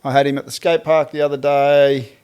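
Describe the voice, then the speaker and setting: A man's voice: a little high, flat delivery, a little fast, darkish tone, clear, resonant tone. An Australian man co-hosting a casual podcast chat, laid-back and teasing, laughing often. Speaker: podcast co-host.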